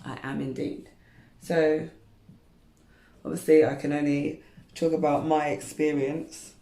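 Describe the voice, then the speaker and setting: A woman's voice: low-pitched, flat delivery, unhurried, warm tone, roomy sound. A woman in her thirties chatting candidly to camera while straightening her hair, rambling with lots of fillers. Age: 30s. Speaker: beauty vlogger.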